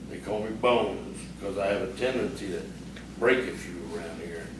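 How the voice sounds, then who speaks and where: An actor playing a gruff, sarcastic frontier bully in an audio theatre piece, taunting and bossy. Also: male voice, low voice, normal speed, close to an everyday voice. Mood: curt, boastful. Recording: distant, echoey, noisy stage recording.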